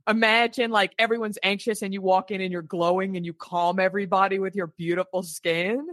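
Woman podcast host, mid-pitched, dry close sound, upbeat and encouraging. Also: steady tone, a little fast, fairly bright tone, no room sound. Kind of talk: casual interview chat.

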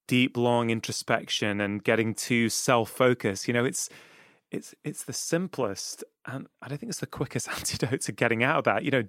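A man's voice: medium pitch, fairly bright tone, dry recording, studio quality. A man from England with a Manchester accent, hosting a podcast interview in a thoughtful, earnest way. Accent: Mancunian English. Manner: thoughtful, earnest, frequent "you know". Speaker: podcast host. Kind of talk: reflective interview conversation.

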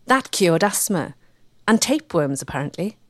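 A woman reading scripted documentary narration as a measured storyteller with a wry edge. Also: fairly low pitch, very animated, no echo, studio quality.

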